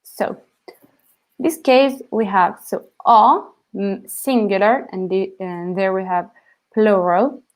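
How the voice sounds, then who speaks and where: A woman's voice: medium pitch, slow. A young woman with an Italian accent, a native Italian speaker, teaching a language lesson and checking in with "okay?" after each point. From Italy.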